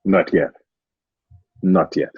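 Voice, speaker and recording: A grave, grieving Roman gladiator, played by a man in an audio drama. His voice is deep and husky, and the recording is dull and muffled. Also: fairly even intonation, slow, full character voice. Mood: grave, mournful. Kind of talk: dramatic script reading.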